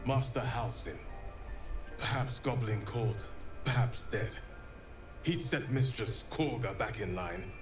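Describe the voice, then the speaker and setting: A man's voice: mid-range voice, slow pace, natural voice. An actor playing a bitter man, a druid of the grove, who speaks in a grim, clipped way, from a video game. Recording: echoey game audio with music.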